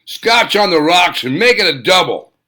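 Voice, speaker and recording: A male narrator plays a domineering, hard-drinking man of power in an audiobook. His voice jumps up high and comes out in curt, irritable barks. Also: sing-song, normal speed, bright, forceful tone, heavily acted. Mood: barking, irritated. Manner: curt, barking.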